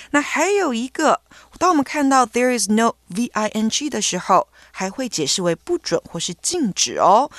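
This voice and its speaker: Female co-host whose first language is Mandarin, animated delivery, dry close recording. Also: medium pitch, dry recording. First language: Mandarin Chinese. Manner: lively explaining, switching between two languages. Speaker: English teacher co-host. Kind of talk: radio English lesson.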